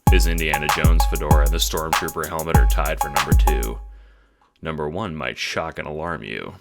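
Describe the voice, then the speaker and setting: Man voices slacker teen boy for audio drama: very low, gravelly, halting, slangy, sincere and foul-mouthed. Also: steady tone, over loud music. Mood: showing off.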